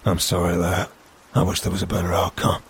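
A man's voice: deep voice, monotone, brisk, a little hiss or hum. A man voicing a kindly, fatherly old man who reassures a younger one. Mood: reassuring. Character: kindly old man, gruff pipe-smoking helper.

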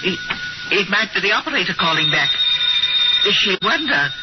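A woman's voice: mid-range voice, very animated, moderate pace, natural voice. An actress playing a polite, anxious older woman in a 1940s radio thriller, careful and hesitant in her speech. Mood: uneasy. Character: genteel, anxious older widow recounting her captivity to her niece. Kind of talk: 1940s radio acting with first-person narration. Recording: dull, noisy 1940s radio recording with music.

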